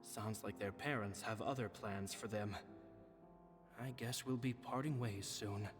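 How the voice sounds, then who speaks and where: An actor playing a kindly, humble male stage magician, reading his diary in an earnest, gentle way in a game voice-over. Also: on the low side, fairly even intonation, a little slow, lightly acted. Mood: reflective. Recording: game audio with long reverb and music.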